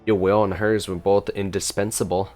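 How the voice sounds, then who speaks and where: A gameplay streamer with a low voice, chatting and reacting casually. They read along with the game aloud, with faint music behind. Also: little pitch movement, normal speed.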